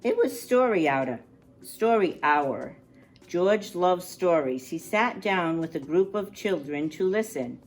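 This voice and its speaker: A woman reads a children's picture book aloud at an unhurried pace, in a slightly low voice. She stretches key words expressively and speaks to her young listeners in chatty asides. Soft music is present. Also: lively intonation.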